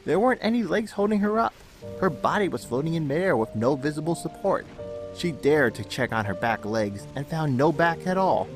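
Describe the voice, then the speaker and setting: A man's voice: a little high, expressive, normal speed. Male storyteller over faint music. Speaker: narrator. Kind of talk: scripted dramatic story narration. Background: music under the narration.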